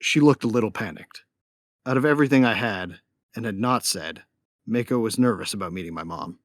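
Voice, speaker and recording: Casting: male actor for young sci-fi hero-narrator, wry, guarded, lowish voice, dry close recording. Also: fairly quick, dry recording, lightly acted. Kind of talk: first-person narration and dialogue.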